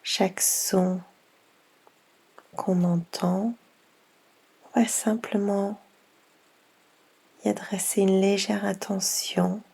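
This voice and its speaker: A woman guiding a yoga nidra session in a soft, half-whispered voice, unhurried, with long pauses between gentle instructions. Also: mid-range voice, flat delivery, creaky, husky. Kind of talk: slow guided meditation.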